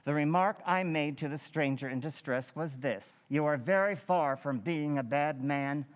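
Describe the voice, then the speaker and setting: An actor playing a formal, deliberate town official addressing a public meeting in a measured, declamatory old-time radio style. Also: male voice, fairly high pitch, lightly acted. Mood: formal, suspenseful. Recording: echoey hall, slightly dull sound.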